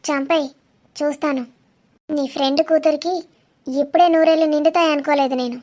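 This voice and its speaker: A woman's voice: sits high, steady tone, fairly quick, darkish tone, close to an everyday voice. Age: adult. Sex: a woman playing a ruthless mother. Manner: cold, menacing. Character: ruthless scheming mother, possessive of her son. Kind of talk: melodramatic audio drama.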